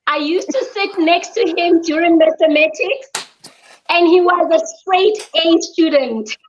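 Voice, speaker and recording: Woman from South Africa with a high voice, speaking earnestly and at a measured pace, recorded on dull lo-fi audio. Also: slight background noise. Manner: measured, earnest, with frequent ums. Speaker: spa business owner. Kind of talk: interview answers. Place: her mother's home.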